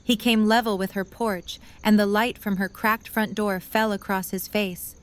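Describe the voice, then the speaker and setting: A woman narrator with a medium-pitched voice reads scripted prose. She tells the story between the lines of an audio drama. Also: steady tone, normal speed.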